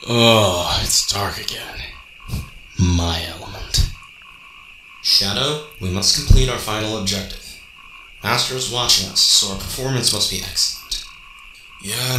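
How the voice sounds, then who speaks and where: A man plays a stealthy male cyborg hedgehog with a lowish, very sharp and forceful voice. He is terse and matter-of-fact, in an amateur radio drama with roomy echo. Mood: brooding. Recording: roomy echo, faint background noise.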